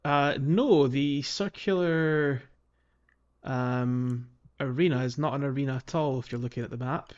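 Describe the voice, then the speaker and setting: Dull-sounding, low-pitched man chatting casually. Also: a little slow, a little mellow, smooth. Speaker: podcast co-host. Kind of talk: casual video game discussion.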